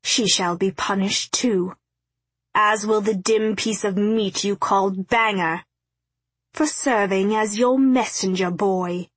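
A woman's voice: mid-range voice, steady tone, slow, slightly rough, no room sound. A woman voicing a cruel, pompous male underworld lord in a storybook, with theatrical, mocking, formal speech. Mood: scornful.

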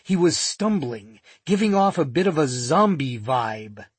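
A man narrating a first-person nonfiction audiobook in a measured, vivid storytelling manner. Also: fairly high pitch, big pitch swings, breathy edge, no room sound.

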